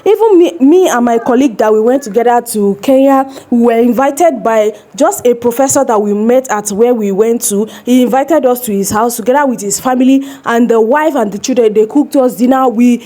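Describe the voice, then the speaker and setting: A young woman with a Nigerian accent, telling stories to her vlog viewers in a lively way. Her voice sits a little high, and her phrasing is plainly Nigerian English. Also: brisk, few pauses. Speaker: vlogger. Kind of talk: casual reaction vlog.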